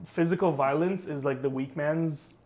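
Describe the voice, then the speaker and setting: A young man co-hosting a casual talk show, telling lively stories and acting out the people he quotes. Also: on the high side, normal speed, a loud crowd. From Saudi Arabia. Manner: animated storyteller acting out quotes. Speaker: podcast co-host. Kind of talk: casual conversation.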